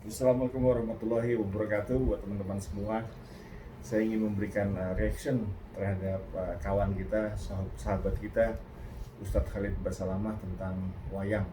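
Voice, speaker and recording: An older Indonesian man giving a casual reaction talk, reflective and earnest, pausing and restarting often. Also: lowish voice, flat delivery, background noise. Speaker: host.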